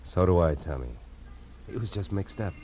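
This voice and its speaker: An actor playing a world-weary, hard-boiled private detective with an American accent, speaking deliberately in 1940s radio noir style. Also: male voice, deep voice, expressive, warm tone, faint music behind. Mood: gentle, weary.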